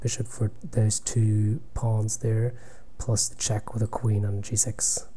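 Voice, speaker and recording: Soft-spoken man commentating chess. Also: on the low side, flat delivery, slight background noise. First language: German. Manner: thinking aloud, halting, drops to near-whisper while calculating. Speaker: chess player commentating own game. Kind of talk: live commentary while playing online blitz chess.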